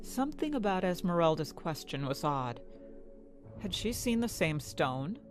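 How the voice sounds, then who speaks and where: Mid-pitched storyteller over music. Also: big pitch swings, a little slow. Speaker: narrator. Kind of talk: scripted story narration.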